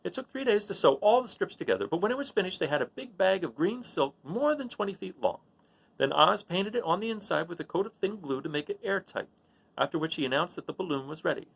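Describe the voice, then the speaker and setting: A male storyteller reading aloud to an audience as series host. His voice is fairly high and very expressive in pitch, with some creak at the edges. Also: fast, rough recording. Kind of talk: storybook narration with informal asides.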